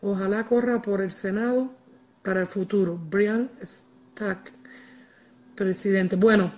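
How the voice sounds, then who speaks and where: A woman's voice: mid-range voice, fairly even intonation, slow pace, darkish tone. A middle-aged woman with a Cuban accent chatting casually on a livestream in an emphatic, repetitive way, addressing viewers directly. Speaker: livestream host. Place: large living room at home. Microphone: wired earphone mic.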